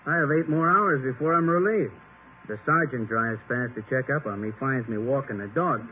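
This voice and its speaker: A man's voice: medium pitch, moderate pace, natural voice. Man playing a prickly, pedantic policeman, old radio. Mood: aggrieved. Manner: formal, fussy, easily affronted. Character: prickly, pedantic policeman proud of his learning. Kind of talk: 1950s radio comedy acting. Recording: tinny, noisy 1950 radio recording.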